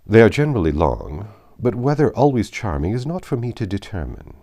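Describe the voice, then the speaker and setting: A man voicing a proud, reserved young gentleman in an audiobook of a classic novel, speaking in a formal, measured, cutting way. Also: lowish voice, very animated. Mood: cool, curt.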